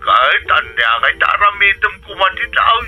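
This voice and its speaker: A man's voice: high voice, big pitch swings, moderate pace, lo-fi sound, faint music behind. An actor playing an anxious, plaintive middle-aged Filipino father with an Ilocano accent in a radio drama. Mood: worried, pleading. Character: anxious, aging Filipino father pleading with his daughter.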